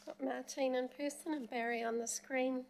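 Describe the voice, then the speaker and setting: A woman chairing a council committee meeting, brisk and friendly as she introduces the next presenters. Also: sits high, fairly even intonation, creaky, darkish tone.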